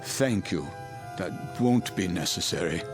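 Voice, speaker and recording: An actor in a radio drama playing a troubled German passenger, formal, precise and hesitant. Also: male voice, low voice, lively intonation, measured pace, over music. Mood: uneasy, distracted.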